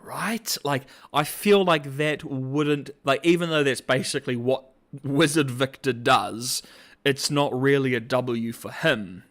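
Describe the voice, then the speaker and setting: A young man hosting a casual livestream, rambling through animated commentary with frequent laughter and fillers. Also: medium pitch, measured pace. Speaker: host.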